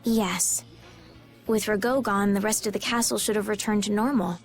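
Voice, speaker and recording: An actress playing a gentle, polite and earnest young princess in a video game, speaking formally and sincerely. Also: female voice, mid-range voice, steady tone, moderate pace, faint music behind, lightly acted. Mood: hesitant, resolved.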